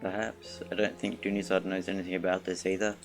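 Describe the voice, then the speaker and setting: A man streaming a video game, reading along and commenting in a low-key, muttering way. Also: little pitch movement, quick pace. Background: game music.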